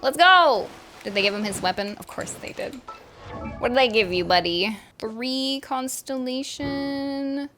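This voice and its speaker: A woman streamer giving casual game commentary in a playful, excitable, slangy way. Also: high voice, measured pace. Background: game music.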